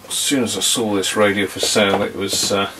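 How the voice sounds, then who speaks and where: A middle-aged man giving an unhurried, muttered, casual commentary as he works at his bench. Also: on the low side, steady tone, background noise. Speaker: vintage radio hobbyist presenter. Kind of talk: casual hands-on demonstration commentary. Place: small indoor electronics workbench.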